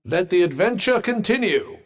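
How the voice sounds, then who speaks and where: Dry, needling game companion: crisp, sarcastic, raised pitch, swooping and animated delivery. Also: male voice, normal speed. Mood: wry. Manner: wry, teasing, dryly sarcastic. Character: dry, sardonic male talking companion. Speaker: voice actor. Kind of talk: game voice lines.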